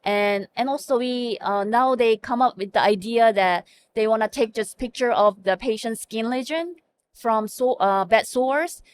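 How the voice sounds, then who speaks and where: Korean-accented woman, earnest and halting. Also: fairly high pitch. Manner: earnest, frequent fillers and self-corrections. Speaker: hospital chief medical information officer. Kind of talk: conversational interview answers.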